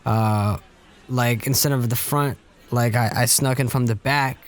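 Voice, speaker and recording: A young man co-hosting a podcast, telling stories in relaxed, self-mocking casual chat. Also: medium pitch, measured pace. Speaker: podcast co-host.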